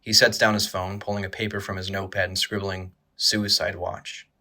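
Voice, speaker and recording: A man's voice: low voice, flat delivery, moderate pace, sharp tone. A man reading scripted dramatic narration for an audio drama.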